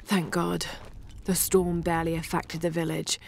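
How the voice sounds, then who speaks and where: A woman's voice: on the low side, level tone, normal speed, vocal fry, a little mellow, faint music behind. An actress playing a determined, troubled young woman adventurer in a video game, speaking in an earnest, naturalistic way. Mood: anxious, guilty.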